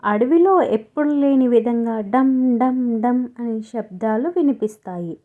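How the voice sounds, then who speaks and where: A woman's voice: fairly high pitch, measured pace, narrow, tinny audio. A woman narrator reading a scripted story for children.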